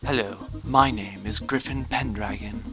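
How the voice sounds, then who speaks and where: A man's voice: on the low side, measured pace, rough recording. Man playing smug self-help huckster over music. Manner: solemn salesman patter. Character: smug, blunt male self-help huckster in spoof advert. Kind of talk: parody infomercial pitch. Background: loud music.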